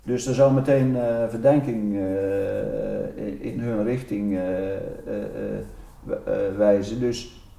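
An older man giving a spontaneous interview account as a researcher, halting as he searches for words. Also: on the low side, fairly even intonation, creaky, dark tone, distant mic. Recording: roomy, away from the mic.

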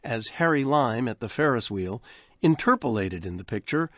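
A man introducing a vintage radio program from a studio, speaking in a measured, articulate way. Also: mid-range voice, no room sound. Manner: measured, articulate, lively delivery. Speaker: radio host. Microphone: close studio microphone. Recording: dry close studio sound.

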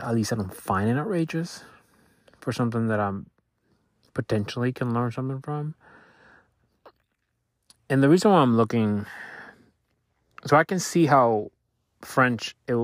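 A man in his thirties whose first language is Spanish, talking through his week in a thoughtful, halting way and often restarting his sentences. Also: medium pitch, lively intonation, no echo, studio quality. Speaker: podcaster reflecting on his week. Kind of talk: casual reflective monologue. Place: Louisiana.

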